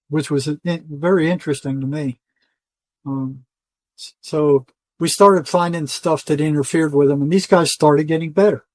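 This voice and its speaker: An older man speaks in a somewhat high-pitched voice. He tells stories in a loose, rambling, colloquial way, as a guest in conversation. The sound is dry, with very little room echo. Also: a little mellow. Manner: rambling, colloquial, acts out quoted dialogue. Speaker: interview guest, retired psychotherapist. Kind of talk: conversational storytelling, casual interview.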